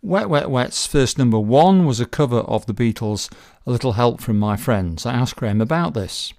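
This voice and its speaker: A man's voice: mid-range voice, expressive, moderate pace, vocal fry, darkish tone. A middle-aged man presenting a radio interview, asking friendly, curious questions.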